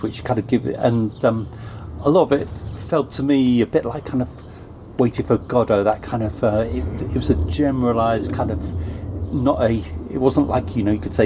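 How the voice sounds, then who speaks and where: A man interviewing in a casual literary discussion, phrasing his thoughts loosely and tentatively. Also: mid-range voice. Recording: clear background noise.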